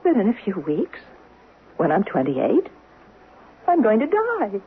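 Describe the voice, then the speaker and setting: A woman's voice: medium pitch, huge pitch swings, measured pace, husky, exaggerated voice. An actress playing an anxious, trusting young wife in her late twenties in a 1970s radio drama, in dialogue and first-person narration. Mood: anxious, confiding. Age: late 20s. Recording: muffled narrow-band vintage radio, faint hiss.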